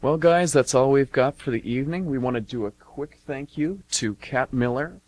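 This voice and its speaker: A man's voice: medium pitch, normal speed, darkish tone, no echo, low-quality recording. A man hosting an amateur radio theater show, talking informally to listeners.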